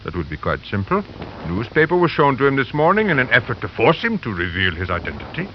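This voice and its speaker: An actor playing a grave psychiatrist in 1950s radio drama, formal, considered and emphatic. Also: male voice, medium pitch, huge pitch swings, fast talker. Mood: grave, explanatory. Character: grave, measured police psychiatrist, adult man. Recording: muffled, noisy vintage radio recording.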